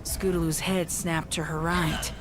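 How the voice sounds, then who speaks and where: A woman's voice: low-pitched, level tone, measured pace. A woman narrator reading a dramatic scripted story. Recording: loud music under the voice. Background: loud music.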